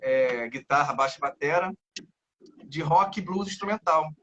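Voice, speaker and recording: A man in his thirties with a Carioca accent talks casually as a guest, rambling and restarting his sentences. His voice sits a little high and sounds bright and forceful. The audio is dull and lo-fi. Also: normal speed. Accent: Carioca Brazilian Portuguese. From Rio de Janeiro, Brazil. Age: 30s. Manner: rambling, many fillers and restarts. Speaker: guest guitarist. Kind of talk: casual interview chat.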